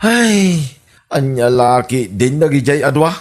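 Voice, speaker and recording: An actor playing a puzzled young husband in an Ilocano comedy radio drama, questioning and incredulous. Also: male voice, medium pitch, expressive, measured pace, close to an everyday voice. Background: music.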